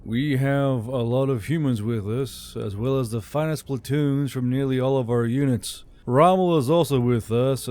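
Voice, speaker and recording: A man voicing a stern, wary commander in a fantasy audiobook, speaking in a flat, low-key way. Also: lightly acted. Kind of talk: audiobook character dialogue.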